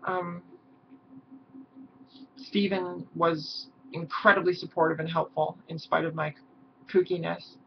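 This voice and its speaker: A hoarse young woman talking haltingly in a personal vlog, with many pauses and ums. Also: lowish voice, level tone. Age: young adult. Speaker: vlogger. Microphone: camera mic. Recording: dull lo-fi mic with faint hiss.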